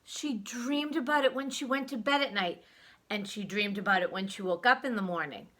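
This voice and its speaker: A woman reading a story aloud to young children, expressive, with dramatic emphasis and questions to the listener. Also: mid-range voice, moderate pace.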